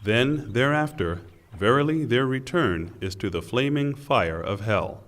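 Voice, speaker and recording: Male reader of translated scripture, mid-pitched, solemn and deliberate phrasing, set in long hall reverb. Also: lively intonation, a bit bright.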